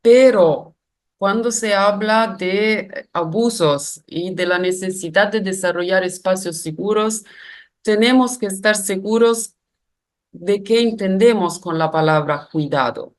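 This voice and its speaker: A woman's voice: mid-range voice, unhurried. A woman with an Italian accent giving a careful, reflective webinar lecture in a non-native language, with frequent hesitations. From Italy. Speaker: guest lecturer.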